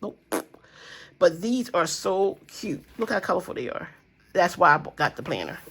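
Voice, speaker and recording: A woman's voice: lowish voice, very animated, moderate pace, fairly bright tone, husky, rough recording. A woman with a stuffy nose chatting casually to viewers about her budget, rambling with fillers and calling them guys and y'all. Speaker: budgeting vlogger. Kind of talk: casual chat to camera.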